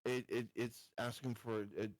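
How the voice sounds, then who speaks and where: A man's voice: medium pitch, little pitch movement, normal speed, heavy background noise. A man playing a tabletop roleplaying game, chatting casually in a hesitant, questioning way.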